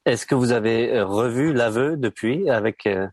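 A man's voice: mid-range voice, normal speed, darkish tone, no echo. A man interviewing a guest on radio, asking brief questions with some fillers.

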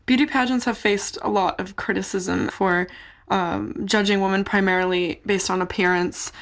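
A woman interviewer posing formal, measured questions from a prepared list. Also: medium pitch, steady tone.

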